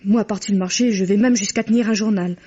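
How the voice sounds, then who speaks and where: Actress as young woman, husky mid voice, guilty, frank, earnest confessional tone, muffled vintage radio drama. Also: mid-range voice, steady tone, fast, dark tone, natural voice. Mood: defensive, frank. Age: young adult. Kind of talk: old French radio mystery acting.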